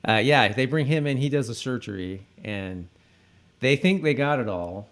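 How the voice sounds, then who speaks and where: Halting man, podcast co-host. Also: medium pitch, animated, a bit bright. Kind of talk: casual conversational discussion.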